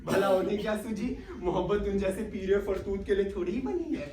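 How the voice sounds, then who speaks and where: A man's voice: sits high, a little fast, crowd noise, lightly acted. A man playing a cheeky, put-upon manservant in a comic stage play, deferential yet impudent. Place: theatre stage, distant microphone. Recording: echoey distant stage recording with audience.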